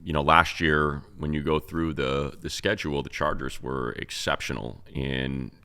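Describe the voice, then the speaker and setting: Sports co-host, American male, very deep yet bright voice, casual discursive analysis. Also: steady tone, moderate pace, clear, resonant tone. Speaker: sports show co-host and radio play-by-play announcer.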